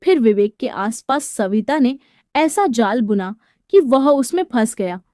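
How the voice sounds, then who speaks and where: High, animated woman storyteller, mellow tone. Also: unhurried, no echo, clean studio sound. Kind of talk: scripted dramatic story narration. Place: close, dry voice-over recording.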